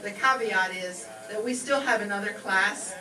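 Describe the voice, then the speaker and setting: A woman's voice: medium pitch, moderate pace. A woman acting as master of ceremonies, presenting and reading scripted lines live to an audience in an indoor hall. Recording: distant mic in echoey room, crowd noise. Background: audience crowd and room noise.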